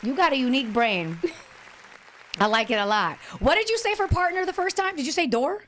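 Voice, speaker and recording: A woman's voice: sits high, lively intonation, moderate pace, a bit bright, a faint crowd, a little hiss or hum. A woman hosting a podcast in a recording studio, talking in an exuberant, playful and slangy way. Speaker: podcast host. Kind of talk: casual interview chat.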